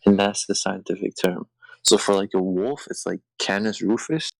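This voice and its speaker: A man's voice: lowish voice, steady tone, normal speed, vocal fry, no echo, low-quality recording. A young man hosting a crude comedy podcast over a call, rambling and swearing between laughs. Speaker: podcast host. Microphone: remote call over shaky wi-fi.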